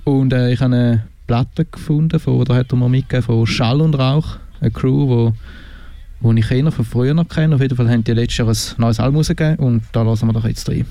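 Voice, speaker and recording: A Swiss German-accented man chats on air as a radio DJ, drifting between thoughts with easy hesitations. His voice sits at an ordinary pitch with a dark, mellow tone. It sounds dry and close, with no room around it. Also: a little fast, dry recording. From Switzerland, Canton of Zurich. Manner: relaxed, rambling, with frequent hesitations. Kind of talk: casual radio moderation between records.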